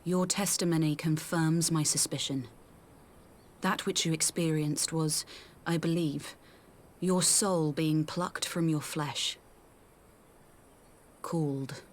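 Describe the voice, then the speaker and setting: Young female seer, game cutscene, low-pitched, solemn, measured, archaic, faint ambient noise. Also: steady tone. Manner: measured, formal archaic phrasing. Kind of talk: scripted video game cutscene acting.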